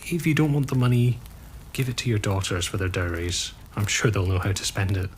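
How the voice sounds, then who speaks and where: A weary, disillusioned gentleman and former soldier, played by a male actor in a period audio drama. His voice is fairly low, measured and grave, with faint noise behind it. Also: expressive, close to an everyday voice. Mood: earnest, wistful.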